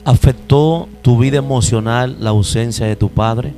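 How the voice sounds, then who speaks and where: A man, a pastor hosting an interview, calm and affirming. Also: mid-range voice, unhurried, over music.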